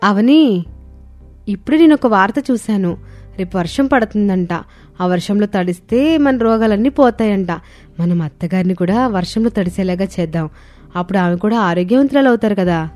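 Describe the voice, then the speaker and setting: A woman's voice: medium pitch, expressive, moderate pace, darkish tone, close to an everyday voice. A woman voicing a silly, earnest young daughter-in-law in a cartoon family story. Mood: eager, conspiring. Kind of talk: cartoon moral-story dialogue. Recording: music under the voice.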